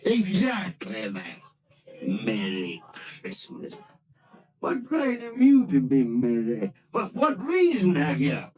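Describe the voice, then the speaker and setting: An actor playing a bitter, miserly old moneylender in a radio drama, snarling and scornful with barking outbursts. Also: male voice, on the high side, wildly swooping pitch, takes it slowly, darkish tone, full character voice. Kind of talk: 1940s radio acting.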